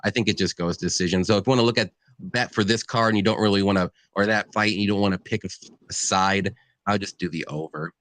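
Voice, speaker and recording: Laid-back low-voiced male stream host. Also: quick pace, fairly bright tone, dry recording. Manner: easygoing, many fillers. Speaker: host of betting live stream. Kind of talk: casual betting chat, reading comments.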